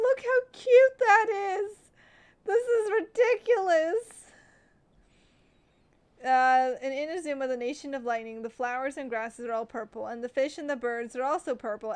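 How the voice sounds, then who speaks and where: High voiced girl streamer, grown woman, reading story text out loud n gushing over it. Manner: storybook-style read-aloud mixed with gushing reactions. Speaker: game streamer. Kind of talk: reading game text aloud with live reactions.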